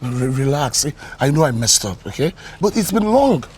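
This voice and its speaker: A man playing a husky, pleading ex-boyfriend. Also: medium pitch, big pitch swings, moderate pace, a little mellow. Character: remorseful young man begging for ex back.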